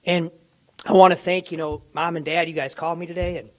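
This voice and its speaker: A man speaks at a press conference microphone, his voice hoarse and worn from illness. It sits a touch higher than most men's, and he talks with eager, halting energy, often repeating words as he restarts. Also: little pitch movement. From Minneapolis, USA. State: hoarse, lost voice. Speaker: college basketball coach. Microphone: handheld or podium microphone.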